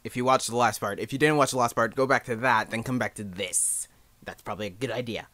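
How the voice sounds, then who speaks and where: A young man giving a casual, unscripted tutorial in a rambling, halting, self-deprecating way. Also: mid-range voice, pushed and bright, no echo. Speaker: amateur voice actor giving advice.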